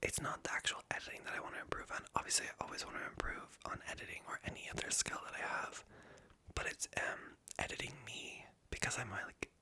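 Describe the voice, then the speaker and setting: A woman with a light Irish accent whispers a breathy, self-conscious, stream-of-consciousness ASMR ramble. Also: fast, pushed and bright. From Ireland. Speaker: ASMR video creator.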